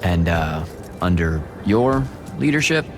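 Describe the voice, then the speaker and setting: An actor playing a young, wry and earnest hero mage in a video game cutscene, speaking with casual, hesitant banter. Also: male voice, deep voice, big pitch swings, slow, over music, full character voice.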